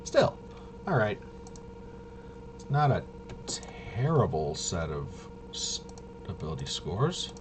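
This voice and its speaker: A man giving a casual, unscripted walkthrough as a host, rambling and halting, with muttered asides to himself. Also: low voice, very animated, background noise. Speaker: host of a tabletop RPG review video.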